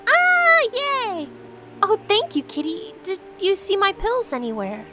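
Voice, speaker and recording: A high-pitched, innocent young girl played by a woman, full of curiosity and quick to exclaim. Her voice swoops in an animated storybook-game style. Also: loud music behind the voice, full character voice. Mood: excited. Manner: wondering, exclaiming.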